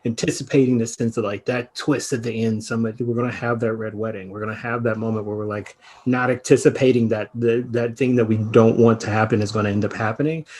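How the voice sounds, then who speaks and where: Husky man musing at length. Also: lowish voice, little pitch movement, fast talker, hardly any pauses, a little mellow. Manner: reflective, winding, laughs easily. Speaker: podcast panelist. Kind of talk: casual discussion.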